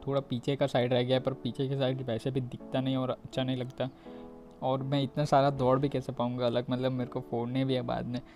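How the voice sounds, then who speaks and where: A man's voice: mid-range voice, completely flat delivery, fairly quick, darkish tone, soft background music. A man with an Indian accent giving casual, informal commentary on a video game, talking directly to his viewers. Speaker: gaming video creator.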